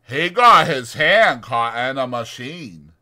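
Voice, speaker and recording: A man's voice: medium pitch, animated, slow, pushed and bright, exaggerated voice. A man voicing a cranky, defiant old ranch hand with a blustering, folksy rural American delivery in an audiobook. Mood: protective.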